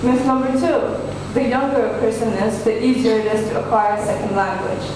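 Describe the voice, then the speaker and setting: Young girl student giving a prepared talk, highish voice, really echoey, far from mic. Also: fairly even intonation, normal speed. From household speaking English and Hindi. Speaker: student speaker. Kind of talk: rehearsed presentation to an audience. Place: large echoey indoor event hall. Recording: very echoey hall, distant, noisy.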